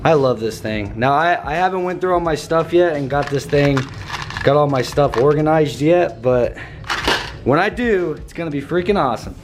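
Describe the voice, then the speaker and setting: A young man with a slightly hoarse voice, walking through a car build in a casual vlog and tossing in dude and bro. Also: on the high side, moderate pace, over music. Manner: laid-back slangy, says dude and bro. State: slightly hoarse, losing his voice.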